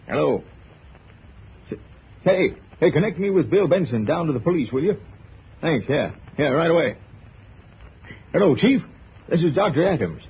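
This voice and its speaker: A man acting a kindly, wily small-town country doctor in an old-time radio drama, middle-aged, folksy, hesitant and gently wry. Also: fairly high pitch, very animated, moderate pace, pauses often. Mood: hesitant, concerned. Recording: dull, noisy old radio transcription.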